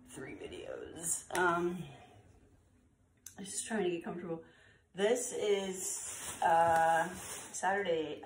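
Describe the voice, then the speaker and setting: A woman's voice: fairly low pitch, slight background noise. A middle-aged or older woman chatting casually to camera with lots of fillers, slightly congested from a cold, recorded indoors by a camera a few feet away. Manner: relaxed, chatty, with frequent fillers. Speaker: vlogger sorting found clothes. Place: bright room with bare walls, indoors. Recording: roomy, slightly distant camera audio.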